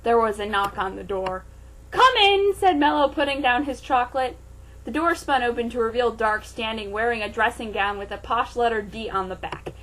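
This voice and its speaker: A woman's voice: a little high, fairly quick, fairly bright tone, slight background noise, rough recording. A woman reading fan fiction aloud as a host, in a theatrical, comic style with sarcastic asides.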